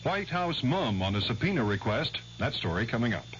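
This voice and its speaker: A man's voice: medium pitch, very animated, a little fast. An American man anchoring a scripted network radio newscast. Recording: dull 1970s radio broadcast, faint hum.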